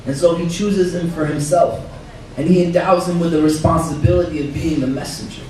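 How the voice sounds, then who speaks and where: A man giving a reflective religious talk as an imam, hoarse from illness, quoting Arabic scripture in a measured way. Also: a little high, fairly even intonation, warm tone. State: hoarse, losing his voice. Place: banquet hall. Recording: roomy far-off hall recording with faint noise.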